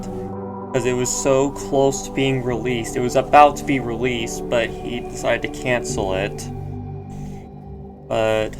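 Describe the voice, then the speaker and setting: A young man giving casual reaction commentary, rambling with halting restarts. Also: mid-range voice. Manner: rambling, halting, repeats words. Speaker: youtuber reacting to a video.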